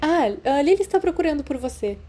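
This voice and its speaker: A woman's voice: high-pitched, quick pace, voice pushed up. A Brazilian woman voicing a young schoolgirl who is curious, chatty and friendly, in an audiobook story. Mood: curious, quick. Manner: inquisitive, lively.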